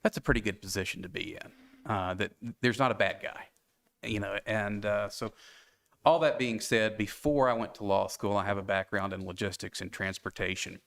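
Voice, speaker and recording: A man in his forties with a Tennessee accent, speaking earnestly with halting restarts as an applicant at a town board meeting. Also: on the low side, fairly bright tone. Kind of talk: interview for a board appointment.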